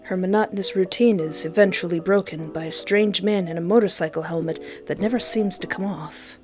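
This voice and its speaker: A woman reading scripted visual novel narration aloud in an even, storybook-like way, with music behind her. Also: lowish voice, a little fast, natural voice. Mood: measured.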